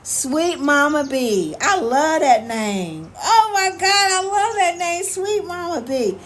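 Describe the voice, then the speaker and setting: Animated, sassy American woman livestreaming. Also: sits high, slow pace. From United States. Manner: animated, sassy, colloquial, repeats catchphrases. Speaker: live stream host. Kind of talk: casual livestream chat, answering viewer questions. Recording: clear background noise, ambient sound.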